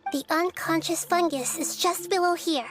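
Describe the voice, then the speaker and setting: A woman voicing a gentle, earnest childlike nature goddess, calm and thoughtful with a soft girlish voice, in an anime-style video game. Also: very high-pitched, normal speed. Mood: calm, curious.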